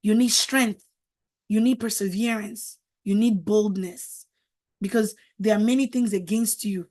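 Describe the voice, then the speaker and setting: A woman's voice: mid-range voice, fairly even intonation, unhurried, a little mellow. A middle-aged woman whose first language is French, giving a motivational talk as a marriage coach, emphatic and preachy, repeating words for stress.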